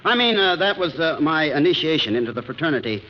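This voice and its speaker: A sheepish eager young writer in old radio comedy, slightly high lively voice. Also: male voice, fast. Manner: hesitant, guileless, sheepish. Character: naive, eager small-town young male playwright. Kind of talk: 1940s radio comedy acting. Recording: muffled noisy 1940s radio broadcast.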